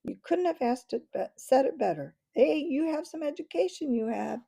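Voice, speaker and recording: A woman's voice: sits high, expressive, moderate pace, gravelly, mellow, pitched above the performer's own voice. Woman doing a fawning chorus of invisible men. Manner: fawning, echoing agreement.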